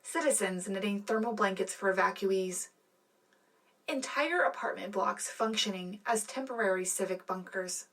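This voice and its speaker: A woman's voice: mid-range voice, moderate pace, a bit bright, low-quality recording. A woman playing an earnest, patriotic wartime radio co-anchor, reading scripted news in a sincere, dramatic way. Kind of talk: scripted newscast narration.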